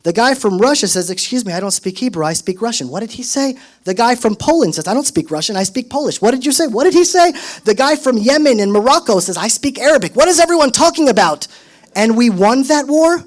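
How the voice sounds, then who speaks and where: Brief: high-pitched man, 30s, lively storyteller at a podium, punches up quoted lines. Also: quick pace. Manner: animated, acts out quotes in raised voice. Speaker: speaker at a solidarity event. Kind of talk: storytelling speech to an audience.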